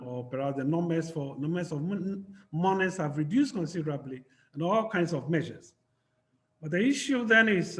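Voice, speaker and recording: A man from Ghana with a Ghanaian accent, giving a measured, explanatory academic panel talk over a video call. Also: fairly high pitch, fairly bright tone. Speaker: panel speaker. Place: home in Ghana.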